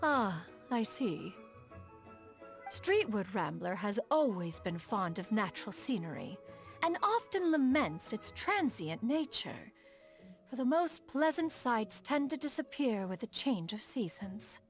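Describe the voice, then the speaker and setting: A woman's voice: on the high side, very animated, measured pace, faint music behind. A woman voicing a proud, refined immortal inventor in a video game, with formal, archaic and lofty diction. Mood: gentle, reflective. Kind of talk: scripted video game character dialogue.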